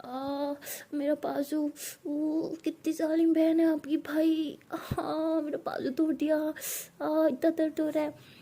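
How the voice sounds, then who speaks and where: A dramatic young woman wails and pleads in a high, breathy voice, as a woman acts her out. Her tone stays mellow rather than sharp, clingy and over the top. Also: fairly even intonation, slow pace, exaggerated voice. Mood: wailing. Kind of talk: storytelling voice acting.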